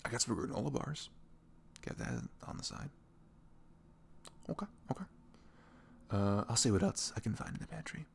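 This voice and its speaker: A man playing a sweet, caring boyfriend in an intimate ASMR roleplay, speaking softly and tenderly in a velvety, often breathy voice with earnest, rambling reassurance. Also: mid-range voice, animated, moderate pace, pauses often, slight background noise. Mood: affectionate, playful. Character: sweet, caring, devoted young boyfriend talking in bed.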